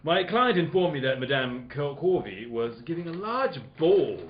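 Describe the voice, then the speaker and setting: A man's voice: a little high, big pitch swings, moderate pace. Guy doing a goofy formal detective in a comedy radio play, voice bouncing around, roomy muffled sound with crowd behind. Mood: formal, playful. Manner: stammering, often breaking character, goofy. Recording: roomy dull live recording, audience noise.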